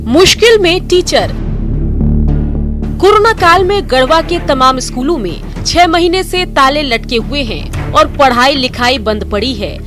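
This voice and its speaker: A woman news narrator with an Indian accent reads scripted reports in a high voice. Clear music plays underneath her voice-over. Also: lively intonation, unhurried.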